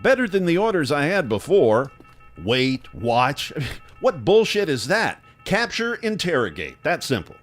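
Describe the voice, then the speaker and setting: An actor playing a driven, forceful government boss in an audio drama. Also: male voice, on the high side, very animated, a little slow, soft background music. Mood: insistent, scheming. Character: intense, driven male government boss under suspicion.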